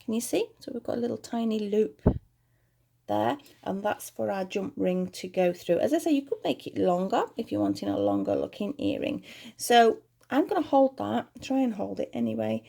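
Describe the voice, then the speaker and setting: A British woman hosting a crochet tutorial at home, chatty and rambling as she talks through each step, her voice a little croaky. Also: mid-range voice, big pitch swings, moderate pace, warm tone. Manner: friendly, rambling, talks while working.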